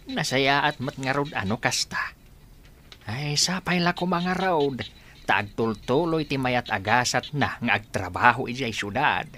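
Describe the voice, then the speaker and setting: A man's voice: mid-range voice, a little slow, sharp tone, a little hiss or hum. A man with an Ilocano Filipino accent playing a grumbling, money-minded business owner in a radio drama, complaining emphatically. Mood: grudging.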